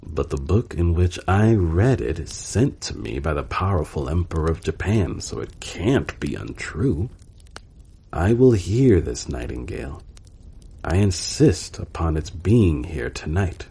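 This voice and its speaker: Guy doing a bossy indignant emperor, bedtime story style, deep mellow voice, very pompous. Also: very animated, unhurried, gravelly, husky, heavily acted. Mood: insistent, threatening. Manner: pompous, commanding. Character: imperious, indignant emperor of a fairy-tale court.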